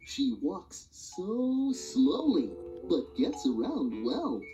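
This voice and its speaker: A woman reading a rhyming children's animal alphabet book aloud in a sing-song, expressive way. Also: medium pitch, extremely slow. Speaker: storybook reader. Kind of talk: children's read-along narration. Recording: dull lo-fi audio with music behind.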